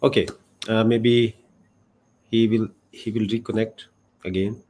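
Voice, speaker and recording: A man hosting a video call Q&A, unhurried with hesitant fillers. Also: on the low side, little pitch movement, a little mellow, a little hiss or hum. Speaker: host.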